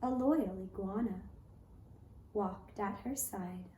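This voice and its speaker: A woman narrating a folk tale as a puppet show storyteller, with measured, deliberate phrasing. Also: mid-range voice, mellow. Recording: roomy echo, faint background noise.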